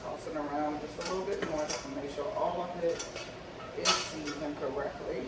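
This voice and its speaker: A slightly hoarse woman chats easily while she cooks, calling her viewers y'all. She sounds set back from the mic, and her voice rings around a roomy home kitchen. Loud clatter of pots and dishes goes on behind her. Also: low-pitched, normal speed, far from the mic, heavy background noise. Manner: relaxed, chatty, talks to viewers as y'all. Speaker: cooking vlogger. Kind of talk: casual cooking tutorial chat.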